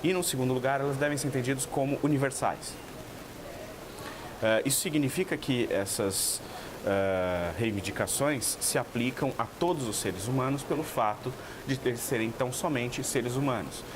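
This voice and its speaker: A man's voice: mid-range voice, normal speed, background noise. A man giving an academic explanation in an interview, lecturing with hesitant fillers. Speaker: expert interviewee.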